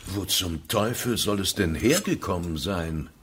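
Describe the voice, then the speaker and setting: An actor playing a courteous, businesslike provincial banker in a radio drama, speaking formally. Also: male voice, deep voice, animated, a little slow, fairly bright tone, a little hiss or hum. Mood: formal, curious.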